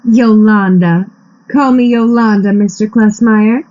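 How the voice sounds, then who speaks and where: An actress playing an anxious, coy damsel in distress in a comic radio play, halting and pleading, on a slightly dull recording. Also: female voice, mid-range voice, mellow, lightly acted.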